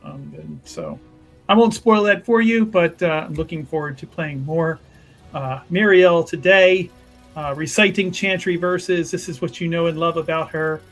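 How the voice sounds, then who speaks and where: Male roleplay player, higher-pitched, casual and wry, repeats phrases playfully, light music underneath. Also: moderate pace, a bit bright. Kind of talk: casual character introduction and banter.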